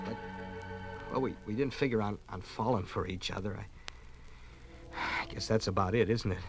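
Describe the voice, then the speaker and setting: An actor playing an awkward, lovestruck young American soldier in a 1950s radio drama, halting and stammering earnestly. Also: male voice, fairly low pitch, creaky, loud music behind the voice. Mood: wistful. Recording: 1950s radio production from vinyl record.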